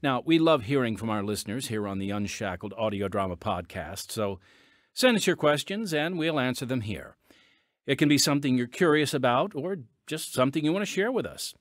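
A man's voice: medium pitch, animated, brisk, dry recording, studio quality. A man hosting a podcast, reading scripted promotional narration and addressing listeners in a folksy way. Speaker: podcast host and announcer.

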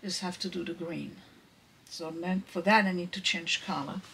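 A woman vlogger chatting casually about her quilting at home, soft-spoken and sometimes dropping to a whisper. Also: lowish voice, steady tone, moderate pace, gravelly. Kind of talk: casual vlog narration. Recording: noisy home recording.

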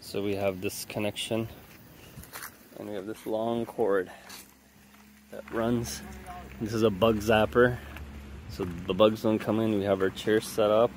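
Brief: man, low voice, plain listing tour, faint outdoor noise. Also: level tone, a little slow, long pauses, darkish tone. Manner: plain, listing things one by one. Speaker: family member giving a campsite tour. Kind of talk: casual walkthrough tour. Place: outdoor campsite in woods.

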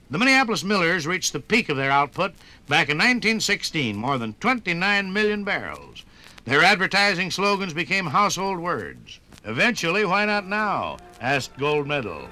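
A male narrator from a 1950s radio broadcast, with a fairly high-set voice that moves animatedly up and down. He delivers scripted history with emphatic, measured phrasing, and music plays behind him on the old record. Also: bright, forceful tone.

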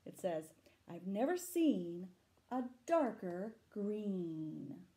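A middle-aged woman reading a picture book aloud to children, dramatic and deliberate, adding asides and questions for her young listeners. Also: mid-range voice, big pitch swings, dark tone. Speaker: library storytime reader. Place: small room indoors. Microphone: camera a short distance away.

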